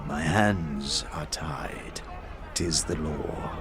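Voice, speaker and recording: A man's voice: rumbling low, extremely slow, slight background noise, exaggerated voice. An actor playing a theatrical, slick slave auctioneer in a fantasy audio drama, mock-courteous and drawing out his words. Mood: mock-sympathetic. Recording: faint music behind the voice. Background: music.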